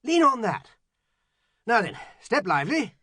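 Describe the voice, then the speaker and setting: Woman as a friendly storybook water rat, brisk, hearty, very high, swooping intonation. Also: very animated, pushed and bright, slightly rough, no room sound, studio quality, heavily acted.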